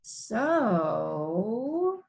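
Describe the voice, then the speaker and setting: A woman's voice: mid-range voice, big pitch swings, drawn-out words, vocal fry, a little mellow. A woman hosting a children's read-aloud, telling the story expressively with casual asides and simple explanations. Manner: explains words as she reads.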